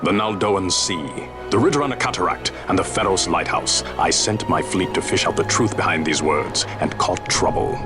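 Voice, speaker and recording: A proud, blunt sky pirate captain with a very low voice, played by an actor. He speaks in archaic, commanding phrases. Game music plays behind him. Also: normal speed, lightly acted. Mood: wry. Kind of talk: video game cutscene acting. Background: game score music.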